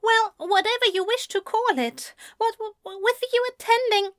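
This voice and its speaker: A woman voicing a tense, sharp-tongued young man who snaps back in clipped retorts. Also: very high voice, normal speed, pitched above the performer's own voice. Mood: irritated.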